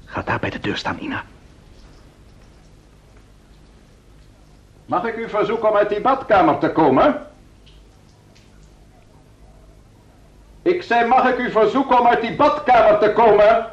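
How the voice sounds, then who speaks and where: A man playing a brisk, commanding detective in a Dutch radio play, urgent and authoritative, calm under pressure. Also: high-pitched, husky. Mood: tense, controlled. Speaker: radio drama actor. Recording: dull, noisy old radio recording.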